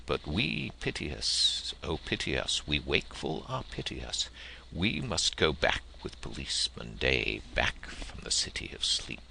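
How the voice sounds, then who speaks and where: Male storyteller, extremely low register with gravelly fry, theatrical and deliberate literary reading, some noise on the recording. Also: very animated, bright, forceful tone, low-quality recording. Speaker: audiobook narrator. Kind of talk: expressive literary narration and verse reading.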